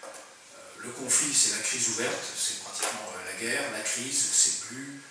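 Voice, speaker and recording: A man's voice: medium pitch, measured pace, very noisy. A middle-aged man, a military officer, giving a lecture, full of filler sounds and restarts. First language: French. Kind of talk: lecture presentation. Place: conference room. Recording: very echoey room, mic far off.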